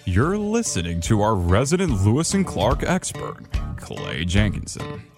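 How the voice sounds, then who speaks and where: Highly animated, slower man narrating over guitar. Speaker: feature producer and narrator. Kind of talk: scripted radio feature narration. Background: plucked guitar music.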